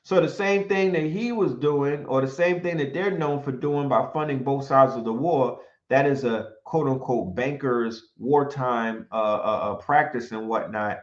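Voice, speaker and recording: A man speaking in an animated, emphatic commentary, often throwing in rhetorical questions and sometimes reading from notes. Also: medium pitch, unhurried, few pauses. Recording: roomy, dull lo-fi sound.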